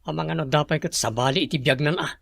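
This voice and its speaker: Creaky, reluctant grown son. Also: male voice, on the high side, fast, fairly bright tone, no room sound. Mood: brooding, evasive. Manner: reluctant, evasive. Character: evasive, brooding grown son. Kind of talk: radio drama acting.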